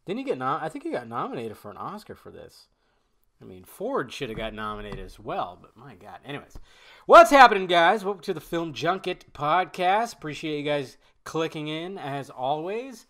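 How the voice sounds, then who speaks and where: A man's voice: a little high, big pitch swings, moderate pace, a bit bright. A man hosting a podcast in loose, rambling casual chat, full of fillers and restarts, sounding nasal and stuffed up from a cold. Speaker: podcast host.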